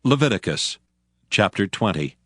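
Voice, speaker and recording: A man announcing and narrating scripture in a formal, measured, solemn manner. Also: on the low side, sing-song, no echo, studio quality, soft background music.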